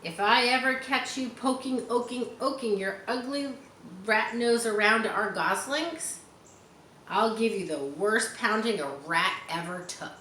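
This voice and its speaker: A woman's voice: on the high side, measured pace, pushed and bright, roomy sound, a little hiss or hum, poor audio. A woman voicing a proud, protective father gander in a storybook, speaking in an emphatic, repetitive way. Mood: threatening.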